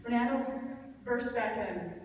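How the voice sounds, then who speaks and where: Girl reading stage directions at a live play reading, bit high voice, big echoey noisy room. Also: a little slow. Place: large reverberant room at a live reading event. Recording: echoey hall from far off, noisy.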